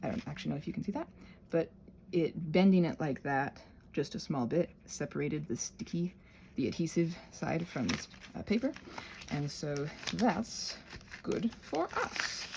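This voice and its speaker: A woman giving a casual, rambling craft tutorial, chatty and thinking aloud as she interrupts herself with gentle reassurances. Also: low-pitched, animated, normal speed, creaky, slight background noise. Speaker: craft and ASMR channel creator, host.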